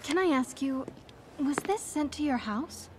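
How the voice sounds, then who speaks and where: A woman's voice: high-pitched, expressive, a little slow, background noise. A woman playing a polite, concerned and reserved young woman in a video game cutscene, with courteous phrasing.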